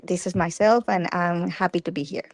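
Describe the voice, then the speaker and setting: Woman, from Colombia, halting, repeats words, lowish voice, dry sound. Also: fairly even intonation, a little mellow, dry recording. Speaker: book club member. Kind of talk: self-introduction on a video call. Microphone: video call.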